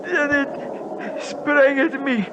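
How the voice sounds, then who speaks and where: A high, slow, halting voice: a wounded Sherpa guide in a 1950s radio play, resigned and pleading through pain. He speaks formal broken English, over a very noisy old recording. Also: steady tone, muffled recording, heavily acted. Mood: pained, frightened. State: in pain, exhausted. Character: badly injured, devoted male Sherpa guide, resigned and pleading. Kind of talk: 1950s radio drama acting.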